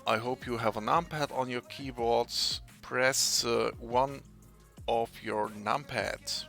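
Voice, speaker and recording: A man whose first language is German, explaining software step by step in English, searching for words and pausing as he goes. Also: medium pitch, gravelly. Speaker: tutorial maker. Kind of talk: screen-recorded software tutorial.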